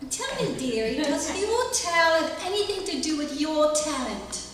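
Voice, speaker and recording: A woman playing slow, high-voiced talent judge. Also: very animated, a bit bright, full character voice. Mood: teasing, amused. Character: indulgent, teasing female talent show judge. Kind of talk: comic stage radio play. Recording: echoey hall, distant mic, noisy room.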